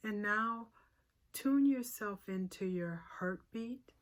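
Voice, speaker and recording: A woman leading a guided meditation as a teacher, giving short, unhurried cues with pauses and a soothing, gently inviting manner. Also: medium pitch.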